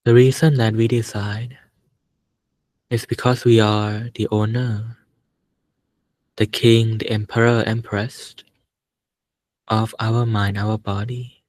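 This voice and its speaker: Man, meditation teacher, very slow delivery, calm short phrases, long pauses, close dry sound. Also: on the low side, no echo. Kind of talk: guided meditation instruction.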